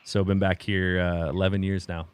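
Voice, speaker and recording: A man in his thirties from Oklahoma, a pastor talking in a halting, reflective way with many restarts during a candid conversation. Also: sits low, flat delivery, smooth. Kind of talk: candid conversation on a video call.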